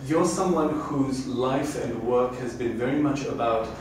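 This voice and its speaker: A man's voice: medium pitch, unhurried, recorded from a distance. A man in his fifties moderating a public conversation, framing thoughtful, academic questions on a lecture stage with a handheld mic. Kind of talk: interview questions. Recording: echoey room, recorded from afar, faint noise.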